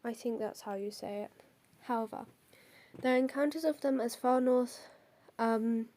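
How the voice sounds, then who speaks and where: A woman's voice: a little high, moderate pace, dark tone. A young woman with a cold and a stuffy nose, hosting and reading out folklore notes, hesitant with many ums and apologies. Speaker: host.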